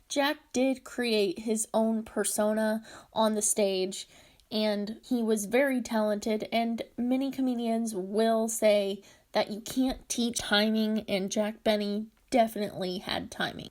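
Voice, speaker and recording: A woman narrating a video essay as host, scripted but with casual asides. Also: fairly high pitch. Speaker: host narrating a documentary on comedy history.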